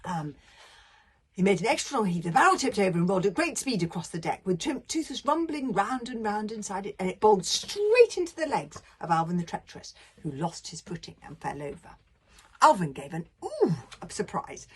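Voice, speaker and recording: A woman's voice: medium pitch, slightly rough. A woman author reading her children's book aloud, dropping into chatty, whispered asides. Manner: warm aside-prone, drops to whispers.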